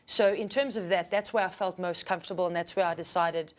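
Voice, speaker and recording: A woman with a South African accent and a low register, talking casually and earnestly as a singer being interviewed. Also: fairly even intonation, a little fast. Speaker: singer and musician guest.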